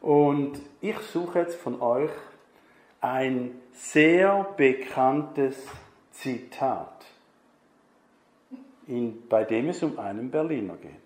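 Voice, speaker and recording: A man preaches at an unhurried, deliberate pace, talking with his congregation and quizzing them as he goes. His voice rings out in an echoey church hall. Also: medium pitch. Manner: chatty, asks the congregation questions. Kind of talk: conversational sermon.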